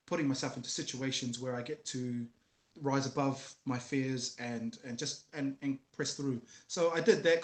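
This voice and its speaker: A man in his thirties from New Zealand with a New Zealand accent, telling his story as a guest on a video call in a relaxed, joking way. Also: medium pitch. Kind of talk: casual interview. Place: small room at home, video call. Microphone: headset earphones, webcam. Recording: roomy video call audio.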